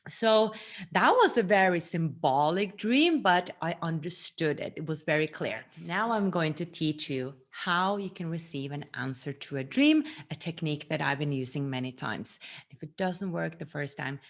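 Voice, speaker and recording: A woman's voice: low voice, expressive, moderate pace. A woman in her forties talking earnestly to camera in a personal teaching monologue, recorded seated in a small room at home. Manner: earnest, gestures a lot with her hands. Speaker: vlogger.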